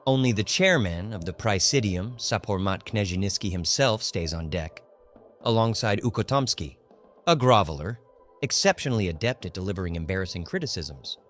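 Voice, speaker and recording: A man narrating a literary audiobook in a grave, measured, dramatic manner. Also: lowish voice, expressive, fairly bright tone. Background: faint music.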